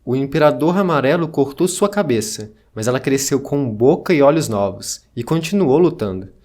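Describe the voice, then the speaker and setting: A man playing a solemn chronicler, narrating ancient legends in a measured, scripted way for an audio drama. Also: medium pitch, lively intonation, a little mellow, natural voice. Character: solemn male chronicler reading ancient myths.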